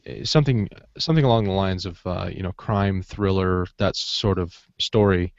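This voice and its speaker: A man's voice: deep voice, moderate pace, no room sound. A man hosting a podcast, chatting casually as he moderates a discussion. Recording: dull, dry lo-fi podcast audio.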